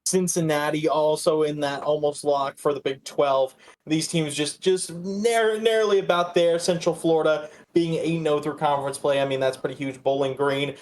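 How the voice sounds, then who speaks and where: Higher-voiced man talking sports casually. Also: steady tone, a little mellow. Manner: halting, repeats words. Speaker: podcast co-host.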